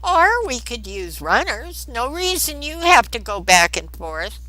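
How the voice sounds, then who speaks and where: A woman's voice: a little high, very animated, measured pace, pushed and bright, no room sound, voice pushed up, exaggerated voice, low-quality recording. A woman voicing an accommodating, mildly worried male technician in an audiobook, speaking plainly and obligingly.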